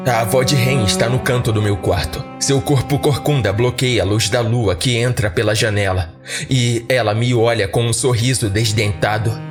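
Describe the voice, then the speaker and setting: Male Brazilian narrator for a horror podcast, mid-pitched, measured dramatic storytelling with music underneath. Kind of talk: scripted first-person horror story narration.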